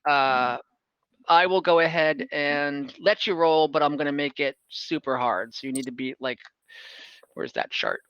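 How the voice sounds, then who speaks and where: Bright-voiced man running a tabletop game. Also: a little high, unhurried, clear, resonant tone, no room sound, dull audio. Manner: informal, thinking aloud. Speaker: game master. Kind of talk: improvised game narration and casual rulings. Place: close, dry mic on an online game call.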